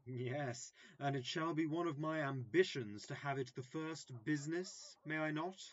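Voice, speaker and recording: A man as a vain, higher-voiced gentleman, slightly muffled. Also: moderate pace, lightly acted. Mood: insistent. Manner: self-important, emphatic. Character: vain, gullible, eager would-be gentleman squire. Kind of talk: early modern comedy audio drama. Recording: slightly dull, lo-fi remote recording.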